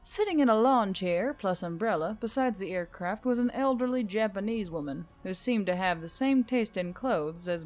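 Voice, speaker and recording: A woman reading scripted fiction as a story narrator. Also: medium pitch.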